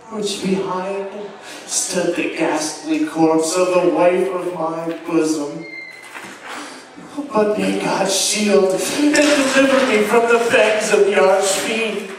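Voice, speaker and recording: High-pitched crazed murderer, echoing stage. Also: male voice, slight background noise. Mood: boastful bravado, then dread. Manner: halting, repeating words, melodramatic. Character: crazed, gloating male murderer confessing his crime. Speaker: stage actor performing a dramatic reading. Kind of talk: theatrical Gothic horror monologue. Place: live show venue at an amusement park. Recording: echoey venue, recorded from far off.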